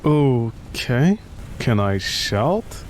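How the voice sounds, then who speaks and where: A man's voice: mid-range voice, sing-song, extremely slow, a little hiss or hum. A man doing live game commentary, talking to himself in a sarcastic, exclamatory way. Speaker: gaming streamer.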